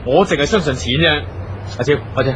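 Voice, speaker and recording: A man's voice: on the high side, a little fast, background noise, close to an everyday voice. A man with a Hong Kong Cantonese accent playing a stubborn, domineering eldest brother who runs the family company, curt and imperious, in a radio drama. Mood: stern, dismissive.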